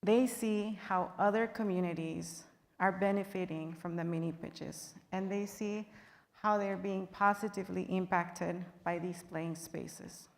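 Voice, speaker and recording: A woman from Guatemala speaking on a panel, earnest and measured with occasional fillers. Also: on the low side, fairly even intonation, creaky. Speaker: panelist, business partner. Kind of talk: panel remarks. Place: large convention hall. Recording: reverberant hall sound.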